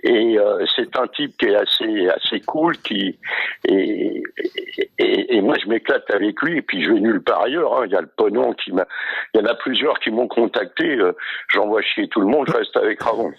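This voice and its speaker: A man's voice: fairly low pitch, normal speed, creaky, husky, dry recording. An older man chatting casually over the telephone, hesitant and repetitive, with familiar slangy turns. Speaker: entertainer, cruise performer. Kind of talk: casual phone chat. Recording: dull, muffled phone line.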